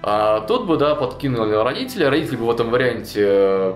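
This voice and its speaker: A man's voice: mid-range voice, fast talker. A young man in a suit jacket casually telling a long story, full of fillers, seated in a studio. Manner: animated, many fillers like "вот" and "ну". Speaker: storyteller guest. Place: studio with chalkboard wall. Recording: roomy studio with faint music.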